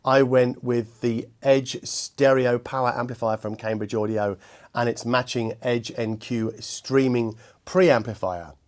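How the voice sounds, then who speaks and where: A man with a mid-pitched voice presents an equipment review. He speaks in a measured, articulate way and chooses his words with precision, addressing viewers as the host. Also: steady tone. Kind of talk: spoken product review to viewers.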